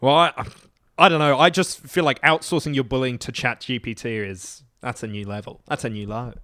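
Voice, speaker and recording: A man's voice: medium pitch, fairly even intonation, normal speed, sharp tone, no echo. A man chatting casually as a podcast guest, with dry, sarcastic comic banter.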